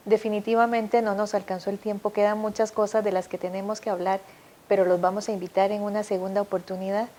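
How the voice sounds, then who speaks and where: A woman hosts an interview program, formal and courteous as she moves the talk along. Her voice is mellow and soft-toned, sitting at a mid pitch. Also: slight background noise. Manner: polite, formal, guiding. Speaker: host.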